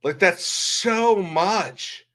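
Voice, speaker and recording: A man's voice: high-pitched, extremely slow, a bit bright. A man hosting a livestream, chatting casually in a rambling, blunt way. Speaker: livestream host. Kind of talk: casual livestream chat and commentary.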